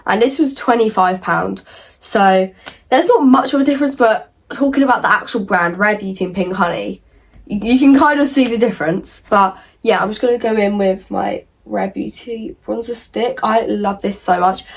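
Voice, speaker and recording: A young woman, croaky from a cold, chatting casually through a makeup tutorial with lots of "like" and "um". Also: medium pitch, normal speed. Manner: chatty, rambling, many fillers like "like" and "um". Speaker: beauty vlogger. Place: at home in front of a mirror.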